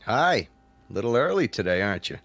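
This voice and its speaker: An actor playing a nosy, casual museum guard in a radio drama, wry and probing. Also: male voice, lowish voice, sing-song, fairly quick, vocal fry, a little hiss or hum, exaggerated voice. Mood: curious, wry. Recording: radio play with soft music underneath.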